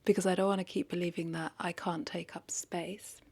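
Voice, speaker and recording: A woman with a lowish voice and plenty of vocal fry talks to the camera in a casual, confessional way. She is hesitant and reflective, circling back over her own phrases as she questions herself. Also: flat delivery, brisk, a little mellow. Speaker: vlogger.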